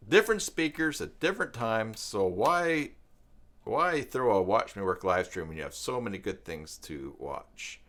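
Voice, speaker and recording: Low-voiced man with swinging, animated pitch. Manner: halting, rambling, thinking aloud. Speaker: live stream host. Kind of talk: casual live stream chat, partly reading web pages aloud.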